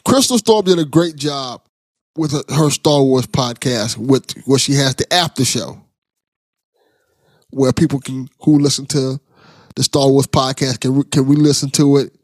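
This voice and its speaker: A man's voice: medium pitch, a little slow, no echo. A man hosting a casual podcast interview in an enthusiastic, encouraging way. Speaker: podcast host.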